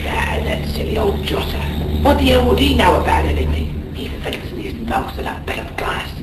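An actor playing a boastful, crude Cockney sailor in a radio drama, slangy and excitable. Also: male voice, very high voice, moderate pace, distant mic. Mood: gloomy, grumbling. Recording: roomy, noisy radio drama, off mic.

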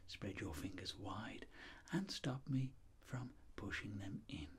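A middle-aged man whispering as a doctor in an ASMR roleplay, giving gentle, polite step-by-step instructions. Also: on the low side, expressive, takes it slowly, breathy edge. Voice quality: soft whisper. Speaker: ASMR performer playing a doctor.

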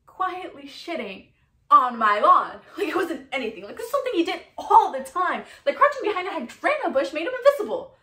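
A woman performing a comedic stage monologue as a frantic, indignant woman, telling her story in an animated, emotional way. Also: mid-range voice, moderate pace, creaky, reverberant, far from the mic. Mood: indignant, furious. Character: frantic, indignant woman recounting a furious outburst.

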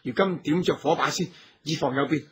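A man playing a steady, protective heroic archer in a radio drama, husky and earnest, with courteous formal speech. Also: on the high side, brisk. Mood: practical, alert. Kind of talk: Cantonese radio drama acting.

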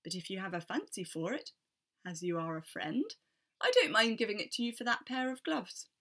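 A woman's voice: medium pitch, animated, moderate pace, bright, forceful tone. A woman voicing a friendly walker who trades his stick in a folktale.

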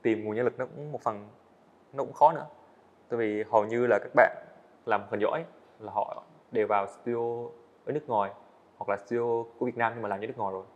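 Fast-talking young man, earnest guest. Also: mid-range voice, fairly even intonation, lo-fi sound. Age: young adult. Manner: earnest, explains at length with fillers. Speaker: guest, student animator. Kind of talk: casual interview talk. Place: studio set, indoors.

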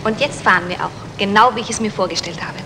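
A woman playing an eager, excitable wife in a retro German radio crime play. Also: medium pitch, fast, background noise, lightly acted. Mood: pleased, satisfied. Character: eager, excitable married woman won over by luck. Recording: reverberant radio play, music behind.

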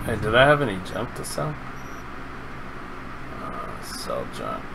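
A man's voice: lowish voice, wildly swooping pitch, slow pace, gravelly. A middle-aged man giving casual live commentary as he plays a video game, speaking into a close mic indoors. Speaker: live streamer. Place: indoor room at a gaming setup. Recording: noisy with game sound behind. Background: video game vehicle and gunfire sounds.

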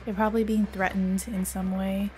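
Slow-talking, slightly hoarse woman streamer. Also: mid-range voice, little pitch movement, a little mellow, soft background music. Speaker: VTuber streamer. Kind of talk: casual game livestream commentary.

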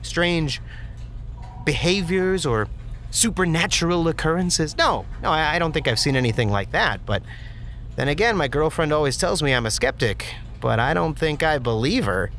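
A man's voice: fairly high pitch, lively intonation, moderate pace, a bit bright. A man playing a goofy pun-loving office guy. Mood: chatty. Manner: joking, chatty, laughs at own puns. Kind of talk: audio drama interview monologue.

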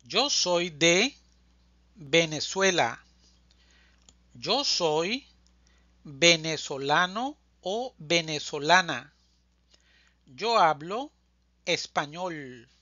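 A language teacher reading a scripted drill slowly, enunciating deliberately phrase by phrase, as a close voice-over. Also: medium pitch, expressive, sharp tone, dry recording, highs cut off. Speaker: Spanish teacher.

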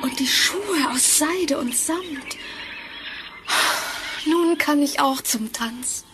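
Sweet gentle young fairy tale girl, high and dreamy, music behind. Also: extremely slow. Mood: awed, dreamy. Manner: dreamy, declaimed. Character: gentle, wistful young Cinderella. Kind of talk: German fairy-tale radio play acting. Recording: vinyl record transfer with clear music.

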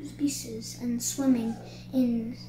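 A child reading a picture book aloud in a halting way, sounding out words. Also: mid-range voice, little pitch movement, mellow. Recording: roomy echo, clear background noise.

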